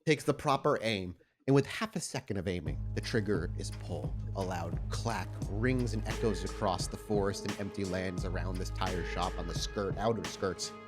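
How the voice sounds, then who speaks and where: A man's voice: low voice, moderate pace, few pauses, over loud music. A man narrating and refereeing a tabletop roleplaying game as game master, mixing description with casual exclamatory asides.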